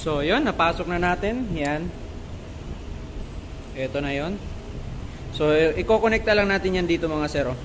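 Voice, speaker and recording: A man's voice: on the high side, animated, moderate pace, long pauses, background noise. A man with a Filipino accent chatting casually as a motorcycle vlogger, explaining things conversationally to his viewers and often repeating himself. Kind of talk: casual vlog chat and DIY walkthrough.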